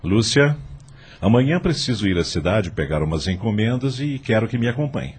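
Very husky, commanding farmer father. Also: fairly low pitch, sing-song, moderate pace. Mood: firm. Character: wealthy middle-aged farmer father, firm but amused. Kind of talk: radio drama acting.

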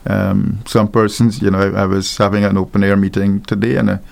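An older man from Guyana, a pastor speaking as a guest on a call-in radio show, unhurried and rambling, with many ums and small laughs. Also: fairly low pitch, little pitch movement, gravelly, a little mellow. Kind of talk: unscripted radio talk.

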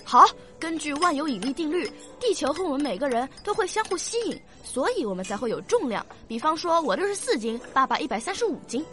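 A woman's voice: sits high, sing-song, fast talker, few pauses, a bit bright, faint music behind. A woman playing a curious, stubborn young daughter in a science-fiction radio drama, earnestly reasoning like a little scholar. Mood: eager arguing.

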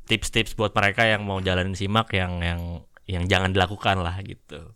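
A man's voice: low-pitched, level tone, normal speed, sharp tone, smooth. A young man with a Jakarta Indonesian accent, chatting casually and jokingly with friends in slang as a podcast host. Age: young adult.

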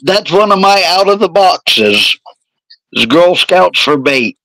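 A man in his forties with a slightly raspy voice, hosting a casual podcast chat with crude jokes. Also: high-pitched, lively intonation, slow, fairly bright tone, low-quality recording. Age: 40s. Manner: joking, crude, rambling. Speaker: podcast host.

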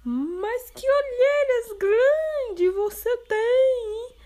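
Woman voicing a tiny storybook girl, innocent, squeaky, very high, slow and careful. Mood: wondering.

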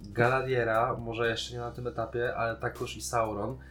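A man's voice: medium pitch, flat delivery, moderate pace, fairly bright tone, soft background music. A man, a podcast co-host casually discussing a TV series in a colloquial, opinionated way.

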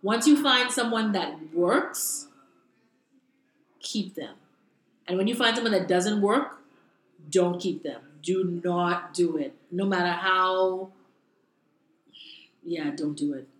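A young woman from the Bahamas, a guest in a casual interview, talking in an animated, laughing way. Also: lowish voice, takes it slowly, long pauses. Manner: animated, laughing, lively storytelling. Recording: roomy echo.

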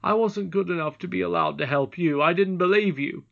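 A woman's voice: on the low side, brisk, vocal fry, fairly bright tone, dull audio, voice pushed up. A woman voicing a brave, humble young miner boy in a storybook, frank, earnest and emphatic.

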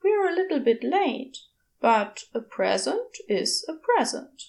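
Storybook part: prim, well-meaning, reassuring gentleman, performed by a woman, high voice, unhurried delivery. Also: very animated, vocal fry, full character voice. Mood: tentative, gentle.

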